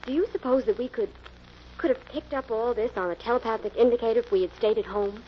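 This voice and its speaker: A woman's voice: fairly high pitch, fast talker, close to an everyday voice. Actress as playful woman, muffled vintage radio. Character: Fern, friendly, lightly playful woman companion. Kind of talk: 1940s radio mystery acting. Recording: dull, noisy old-time radio recording.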